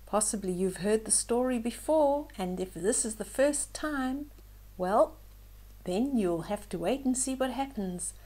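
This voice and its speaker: Casting: female children's storyteller, unhurried and soft-toned, expressive, dropping into hushed moments. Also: fairly high pitch. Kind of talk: storybook read-aloud with asides to young listeners.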